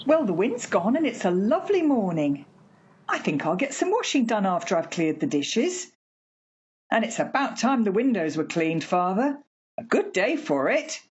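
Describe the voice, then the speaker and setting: A woman's voice: medium pitch, big pitch swings, moderate pace, a little mellow, breathy edge, highs cut off. A woman playing a practical older mother in an amateur radio play, chiding and dismissive in manner. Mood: cheerful, practical.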